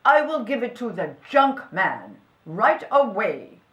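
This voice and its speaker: A woman voicing a polite, mild male priest in a storybook, with a courteous and measured manner. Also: a little high, sing-song, fairly bright tone, echoey room, full character voice. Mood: wary. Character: polite tea-loving male priest, mild, easily startled. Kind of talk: storybook character voice.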